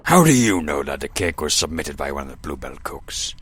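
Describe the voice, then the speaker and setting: A man playing a grumpy, indignant old village leader with a gravelly, blustering delivery in a comic radio play. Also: extremely low pitch, sing-song, brisk, loud music behind the voice. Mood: defensive, blustering. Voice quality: gravelly, creaky. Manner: pompous, blustering.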